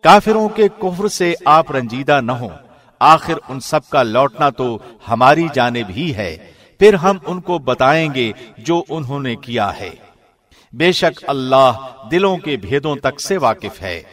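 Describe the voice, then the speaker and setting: Solemn, measured man reading a translation. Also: medium pitch. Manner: measured, solemn, even delivery. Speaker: translation reader. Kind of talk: formal scripted reading of a translation. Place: recording studio.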